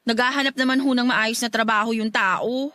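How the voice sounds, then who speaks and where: A high, dry young voice: a grown daughter in a radio drama. She is respectful but stubborn, pleading earnestly and defensively. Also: steady tone, brisk, sharp tone, no echo. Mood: pleading, defiant. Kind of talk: Filipino radio drama acting.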